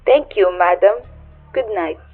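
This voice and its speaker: A woman's voice: mid-range voice, expressive, a little slow, breathy edge, no room sound, faint music behind. A woman playing a businesslike telegraph clerk in a radio play, formal and impersonal as she recites. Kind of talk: old radio drama acting. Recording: dry, tinny phone-like sound.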